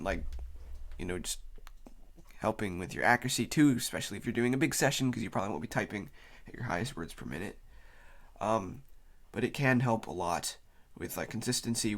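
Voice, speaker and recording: A man's voice: fairly low pitch, animated, fairly quick, fairly bright tone. A man with a cold, sounding tired, gives a casual unscripted explainer as a video host, rambling loosely as he goes. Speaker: YouTube host.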